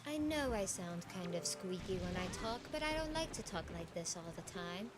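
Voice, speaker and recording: Woman deliberately deepening her high voice. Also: expressive. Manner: playful and teasing. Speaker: game streamer. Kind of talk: casual livestream chat while gaming. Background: game music.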